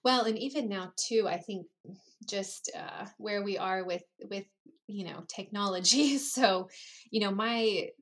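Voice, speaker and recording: This is a woman from the United States, speaking in a relaxed, casual way. She chats as a co-host, with fillers and restarts and a middle pitch. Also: a little slow. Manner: chatty, loose, with many fillers. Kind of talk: casual conversation.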